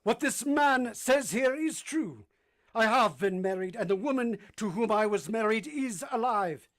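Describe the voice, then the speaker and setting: A man acting a brooding, bitter English gentleman in a period radio drama, impassioned and declamatory, pleading with his beloved. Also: high voice, expressive, measured pace. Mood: bitter defiance.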